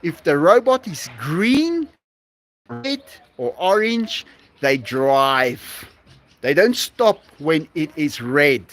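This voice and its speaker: Male livestream host, South African accent, unhurried, high lively voice, laughs often and restarts sentences. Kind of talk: casual live-stream chat with viewers.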